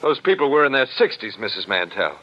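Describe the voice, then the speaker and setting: An actor playing a brusque, skeptical, hard-bitten newspaper reporter in a 1940s radio drama. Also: male voice, fairly low pitch, very animated, a little fast. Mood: irritated, skeptical. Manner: brusque, impatient, blunt. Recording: dull lo-fi 1940s radio broadcast.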